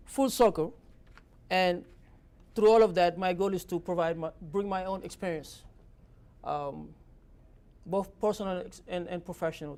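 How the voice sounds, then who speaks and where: A man's voice: high voice, a little mellow. A man from Senegal, an office director speaking informally to present himself and his work. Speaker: government office director giving a talk.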